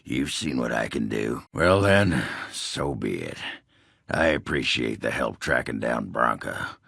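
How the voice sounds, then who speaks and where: An actor playing a crude, hard-drinking dwarf warrior in a video game, rough and raspy, with a blunt, coarse way of talking. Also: male voice, very deep voice, animated, measured pace, bright, forceful tone, dry recording, clean studio sound, exaggerated voice. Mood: gruff, subdued. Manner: blunt, coarse, folksy swearing. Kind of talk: video game character dialogue.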